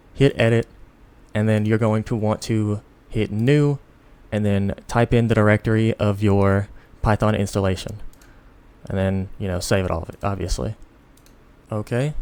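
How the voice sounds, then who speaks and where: Low-voiced, sleepy man giving a tutorial. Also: darkish tone, smooth. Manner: sleepy, rambling, self-deprecating. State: tired, sleepy. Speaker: tutorial host. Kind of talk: unscripted software tutorial, casual.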